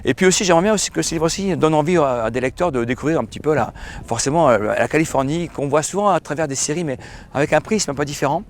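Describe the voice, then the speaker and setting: A hoarse man, a crime novelist, answering interview questions casually with many fillers and restarts. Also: fairly high pitch, lively intonation, fast talker, slight background noise. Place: outdoor festival.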